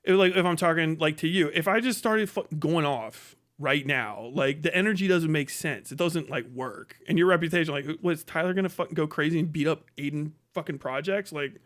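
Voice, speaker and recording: A man's voice: a little high, a little fast, hardly any pauses, fairly bright tone. A man ranting and telling stories casually on a live stream, blunt and swearing freely. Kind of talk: casual, profane ranting and storytelling.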